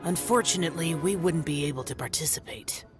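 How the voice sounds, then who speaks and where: An actor playing a curt, solemn young demon-hunting immortal in a fantasy video game. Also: male voice, on the high side, fairly quick, lightly acted. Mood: solemn, detached. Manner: terse, solemn. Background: music.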